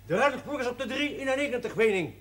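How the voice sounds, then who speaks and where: An actor in a television drama playing a hurried mine subordinate, reporting in a clipped, factual way. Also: male voice, very high-pitched, expressive, fairly bright tone, a little hiss or hum. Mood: urgent.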